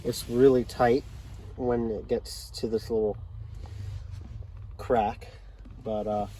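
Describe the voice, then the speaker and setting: An American man giving a casual, unscripted hands-on walkthrough in a plainspoken, matter-of-fact way with hesitant pauses. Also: medium pitch, little pitch movement, warm tone. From South Jersey, United States. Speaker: hobbyist showing a car CB radio installation. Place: in and around a car. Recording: clearly noisy handheld recording with clicks.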